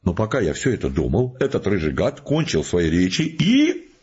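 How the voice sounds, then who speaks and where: An actor playing a boastful, excitable male scientist in a radio play, telling his tale in blustering, colloquial speech with a rough voice. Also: low voice, moderate pace, lo-fi sound, exaggerated voice. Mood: indignant. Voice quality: husky, rough.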